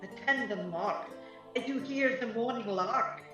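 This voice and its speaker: A woman's voice: on the high side, measured pace, sharp tone. A woman playing a mischievous, alert fairy sprite who serves a master, in a Shakespearean radio play. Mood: watchful. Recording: distant, muffled, cavernous echo with music.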